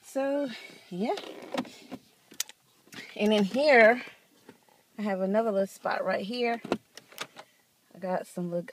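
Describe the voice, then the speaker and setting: A woman's voice: mid-range voice, noisy background. A woman in her thirties chatting casually to viewers while filming a tour of her car on a handheld phone, laughing often. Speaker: vlogger. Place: outdoors and inside a parked car. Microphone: handheld phone camera.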